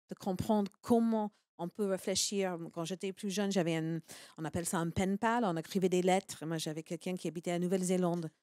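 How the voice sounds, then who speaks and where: A woman's voice: mid-range voice, dark tone, no room sound. A woman in her forties with an American accent, speaking earnestly on a panel discussion with many fillers. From United States. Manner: earnest, emphatic, with frequent fillers.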